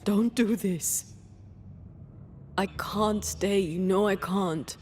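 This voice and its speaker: A woman playing a tender, resolute ghostly lover in a video game cutscene, hushed and breathy, close to a whisper. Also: mid-range voice, drawn-out words, slight background noise. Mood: resolute sorrow. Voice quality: breathy, creaky near-whisper.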